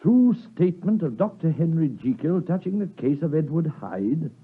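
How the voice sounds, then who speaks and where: Sober gentleman, muffled vintage radio. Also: fairly high pitch, sing-song, moderate pace, breathy edge. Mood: grave discovery. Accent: British. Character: sober, measured gentleman investigator reading out a confession. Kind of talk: 1950s radio drama acting. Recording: muffled vintage radio broadcast, music behind.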